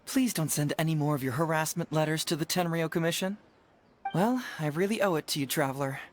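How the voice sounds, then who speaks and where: A man playing a smooth, coy young detective. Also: a little high, fairly quick, a little hiss or hum, close to an everyday voice. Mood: calm, breezy. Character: clever young male detective, smooth, playful, quietly self-doubting. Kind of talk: video game voice acting.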